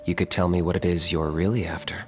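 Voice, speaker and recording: An actor playing a terse, cool-headed young hunter in a video game, laconic and deadpan. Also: male voice, low voice, fast, long reverb tail, over music, lightly acted. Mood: cool, unimpressed. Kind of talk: understated video game character acting.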